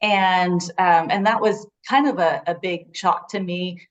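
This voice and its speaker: A woman being interviewed as a guest author, answering casually and slowly with some hesitation, over a remote connection. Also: on the low side, steady tone. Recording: dull lo-fi call audio.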